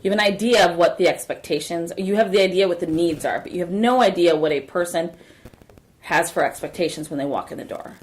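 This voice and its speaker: A woman's voice: on the low side, brisk. A woman co-hosting a casual podcast, chatty and telling a story about her workplace. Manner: chatty storyteller, animated in quotes. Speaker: podcast co-host.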